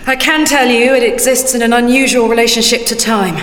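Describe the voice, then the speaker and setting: An actress playing an imperious, eerie seer in an audio drama, speaking in a grave, formal, cryptic way. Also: female voice, a little high, fairly even intonation, a little fast, lightly acted. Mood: grave, probing. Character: imperious, eerie woman seer fascinated by alien minds. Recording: echoey reverberant hall, loud music.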